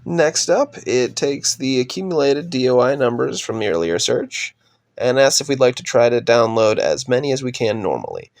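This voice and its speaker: A young man with a voice raspy from a cold, steadily narrating a slide presentation on his undergraduate research project. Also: medium pitch, normal speed, no echo. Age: young adult. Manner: steady, explanatory, trails off at sentence ends.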